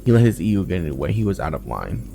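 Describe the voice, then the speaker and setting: Blunt, talkative game soldier, read by a man, low and gravelly, music underneath. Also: very animated, racing, full character voice. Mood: grumbling. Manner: colloquial, blunt. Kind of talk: game dialogue read aloud.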